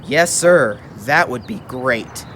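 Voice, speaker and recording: A man's voice: medium pitch, slight background noise. A man playing an earnest, polite young boy, eager to help, in a puppet show. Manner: earnest, halting when excited. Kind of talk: church puppet show dialogue.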